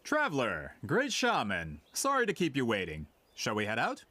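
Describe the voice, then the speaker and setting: An earnest gentleman scholar from an anime game. His mid-range voice rises and falls dramatically, always courteous and formal. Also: medium pitch, wildly swooping pitch, normal speed, heavily acted. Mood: polite, focused. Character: courteous, earnest male scholar leading an expedition. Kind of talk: anime video game voice acting.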